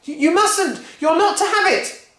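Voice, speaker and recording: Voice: medium pitch, wildly swooping pitch, measured pace, pitched above the performer's own voice. A reader voicing an earnest young boy, pleading and worried, in an echoey room.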